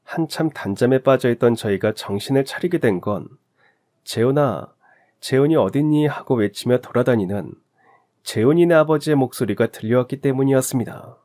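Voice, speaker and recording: A man narrating a heartfelt first-person life story in a gentle, reflective scripted telling. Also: medium pitch, animated, a little fast.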